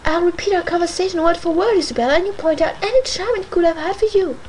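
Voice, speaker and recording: Brief: impatient, scolding mistress, voiced by a woman reader, high and forceful. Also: fast talker, a little hiss or hum. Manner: emphatic, scolding. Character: proud young mistress, sharp-tongued, impatient.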